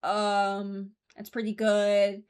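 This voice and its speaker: A woman's voice: mid-range voice, monotone, drawn-out words, darkish tone, clear, resonant tone. A woman hosting a ranking video, giving casual, opinionated commentary as she muses aloud. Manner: informal, musing aloud. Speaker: video host ranking voicelines.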